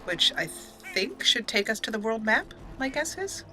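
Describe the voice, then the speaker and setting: A woman's voice: on the high side, very animated, a little slow, music in the background. A woman giving casual, chatty roleplay commentary on a game, slipping into emotive, story-like narration. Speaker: let's play host and gamer.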